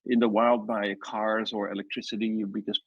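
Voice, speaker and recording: Middle-aged man, unhurried. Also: on the low side, level tone, slight background noise. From Netherlands. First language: Dutch. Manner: unhurried and loosely structured, with hesitations. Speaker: guest, wildlife rescue founder. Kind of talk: online audio chat. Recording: dull, dry remote audio.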